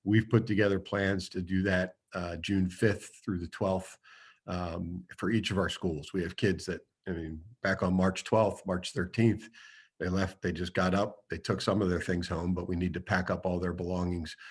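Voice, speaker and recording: A school superintendent with a booming voice, rambling through answers to public comments at an online meeting. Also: male voice, fairly even intonation, normal speed, breathy edge. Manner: rambling, with many fillers.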